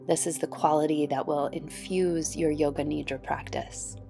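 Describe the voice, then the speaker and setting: A woman guiding a yoga nidra meditation in a soothing, gentle, unhurried manner. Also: sits low, steady tone, creaky. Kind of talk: guided meditation. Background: background music.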